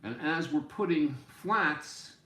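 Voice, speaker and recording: A man in his sixties giving an informal music lesson, explaining deliberately and step by step in a small room. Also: on the high side, lively intonation, pushed and bright. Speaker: music teacher. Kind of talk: informal instructional explanation at a whiteboard. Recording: roomy, echoey home room sound.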